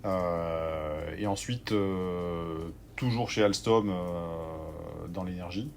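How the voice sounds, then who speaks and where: A man in his forties from southwest France, the guest, talking through his career slowly with many fillers and restarts. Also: deep voice, gravelly, darkish tone, slight background noise. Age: 40s. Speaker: organic grocery owner, guest. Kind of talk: conversational interview.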